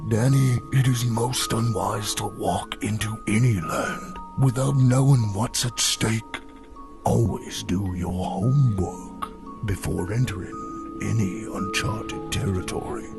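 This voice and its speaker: Man voicing a very slow, solemn gentle snowman giant. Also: on the low side, gravelly. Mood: fatherly advice. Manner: solemn, avuncular, preachy. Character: gentle giant living snowman, kindly old mentor. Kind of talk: audio storybook character acting. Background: music.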